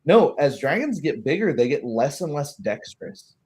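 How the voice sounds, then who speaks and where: A man talking casually as a tabletop game master, chatty and halting, with a readiness to chuckle. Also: a little high, big pitch swings, darkish tone.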